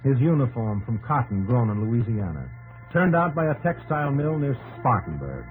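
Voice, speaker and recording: A man playing a solemn, stirring narrator of American history in a 1950s radio documentary, speaking poetically with dramatic pauses. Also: mid-range voice, very animated, phone-quality sound, lightly acted. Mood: storytelling. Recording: 1950s radio broadcast with loud organ music. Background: dramatic organ music.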